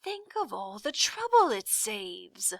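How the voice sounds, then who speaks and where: A villainous male demon of pointless chores, played by a woman reading a storybook. The voice is sly and menacing, dragging out its words and sliding between snarls and deceitful whispers. It swoops widely in pitch and is recorded dry and close. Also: sing-song, creaky, no room sound, exaggerated voice. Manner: drawn-out, snarling, slipping into soft deceitful whispering.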